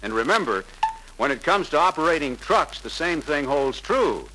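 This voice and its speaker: Young male salesman: polite, persuasive, forceful bright voice, faint noise of an old 1950s film soundtrack. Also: on the high side, sing-song, normal speed, poor audio. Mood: earnest. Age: young adult. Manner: deferential, persuasive, earnest. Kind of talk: 1950s sales pitch acting.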